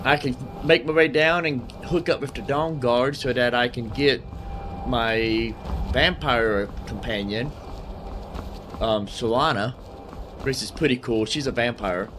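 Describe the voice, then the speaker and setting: A man's voice: mid-range voice, a little slow, noisy background. A man giving casual gameplay commentary, muttering asides and questions to himself. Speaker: gaming video host.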